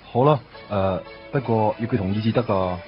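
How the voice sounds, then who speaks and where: A man's voice: lowish voice, moderate pace, dull audio, faint music behind, lightly acted. A man with a Hong Kong Cantonese accent, acting a mild, hesitant young bachelor in a radio drama, casual and questioning. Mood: hesitant, curious. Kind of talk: naturalistic radio drama dialogue.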